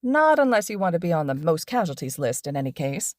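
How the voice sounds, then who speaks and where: A woman voicing a loud, cheerful male hero teacher with an exuberant, projecting manner. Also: lowish voice, very animated, a little fast, no room sound, studio quality. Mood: upbeat.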